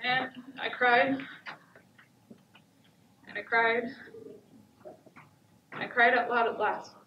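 A young woman playing a wounded but resolute survivor of domestic abuse in a dramatic stage monologue, with deliberate, declamatory phrasing. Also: a little high, steady tone, lo-fi sound. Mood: anguished. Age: young adult. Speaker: high school stage actor. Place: indoor theatre stage, far camera. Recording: echoey theatre, distant mic, faint noise.

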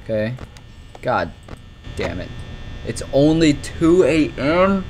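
A man's voice: medium pitch, sing-song, slow, darkish tone, slight background noise. A young man giving casual, rambling live commentary on a horror game, nervous and exclamatory, with some swearing. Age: young adult. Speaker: gaming streamer.

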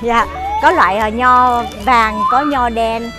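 A chatty middle-aged woman with a fairly high voice guides viewers on a walking tour, pausing often on fillers as she points things out. She is recorded outdoors and in a shop, with music playing clearly behind her. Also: steady tone, sharp tone. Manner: chatty, many "ờ" fillers, addresses viewers as "quý vị". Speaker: travel show host. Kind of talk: walking tour narration to camera. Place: outdoors at a farm market and inside its shop.

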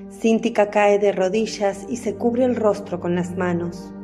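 A woman giving scripted narration for a radio drama in a solemn, measured manner. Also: mid-range voice, fairly even intonation, warm tone, soft background music.

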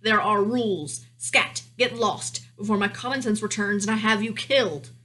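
A woman's voice: mid-range voice, lively intonation, moderate pace, fairly bright tone, lightly acted, poor audio. A woman voicing a stern, pompous king and father in a children's storybook, commanding and emphatic. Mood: thundering anger.

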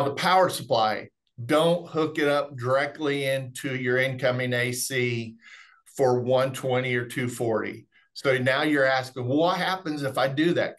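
A man's voice: medium pitch, a bit bright. A middle-aged man presenting webinar technical training in a folksy, explanatory way. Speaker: remote monitoring specialist presenting product training. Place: indoors, on a video call.

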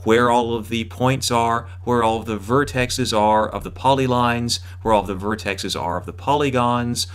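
A man's voice: mid-range voice, fairly even intonation, moderate pace, clean tone. A man in his thirties giving an explanatory video lecture as an instructor, emphatically restating and rephrasing his points for clarity.

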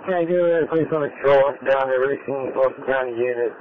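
A man's voice: mid-range voice, fast. A man working as an emergency responder, making short operational calls over a two-way radio. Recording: noisy, narrowband patched radio transmission.

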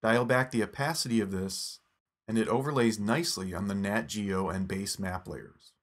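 A man narrating a scripted phone app tutorial in a steady, instructive step-by-step voice. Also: fairly low pitch, normal speed.

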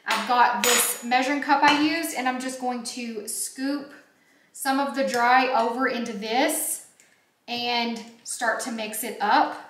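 A chatty woman with a fairly high voice walks viewers through a recipe in an informal way. She is recorded a few feet from the camera in a home kitchen, so the sound is noticeably roomy, with faint background noise and some clinking of dishes. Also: a bit bright. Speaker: home baking vlog host. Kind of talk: casual recipe demonstration. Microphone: camera a few feet away.

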